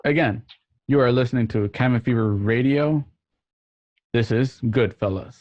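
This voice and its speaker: A man's voice: medium pitch, moderate pace, darkish tone, highs cut off. A man hosting a show, introducing it in an announcer-like way with casual asides, recorded at home. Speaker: host. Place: at home, remote ensemble session.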